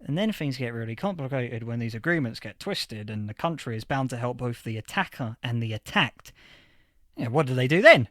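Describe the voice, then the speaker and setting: An actor playing a history professor from a post-apocalyptic future in a fiction podcast interview, explaining things with emphatic lecturing. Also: male voice, medium pitch, animated, a little fast, fairly bright tone, no room sound, clean studio sound, lightly acted. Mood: emphatic, explanatory.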